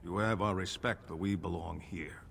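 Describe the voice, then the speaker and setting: A man's voice: deep voice, fairly even intonation, normal speed, creaky, fairly bright tone, slight background noise. A man playing a stoic, grim veteran dwarf soldier in a fantasy video game, solemn and plain-spoken. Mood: stoic, resolute.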